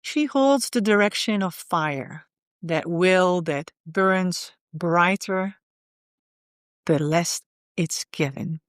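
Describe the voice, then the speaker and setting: A woman leading a guided meditation in a soothing, unhurried way, often pausing in the middle of phrases. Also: lowish voice, no echo, studio quality.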